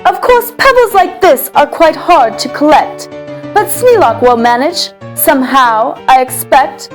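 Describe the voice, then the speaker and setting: A woman performs an eager young boy from a rhyming storybook, boasting like a circus showman. The voice is high and hugely animated in pitch, with slow, emphatic, singsong delivery over music. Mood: proud showman.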